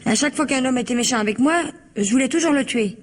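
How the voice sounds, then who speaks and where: A woman's voice: sits high, fast. An actress playing a naive, frank working-class woman in an old French radio mystery, talking colloquially with unpolished grammar. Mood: reflective.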